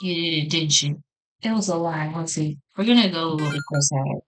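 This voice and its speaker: A woman's voice: sits low, brisk, slight background noise. A woman reading visual novel text aloud as a gaming host, in an informal way with casual reactions. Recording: music behind the voice.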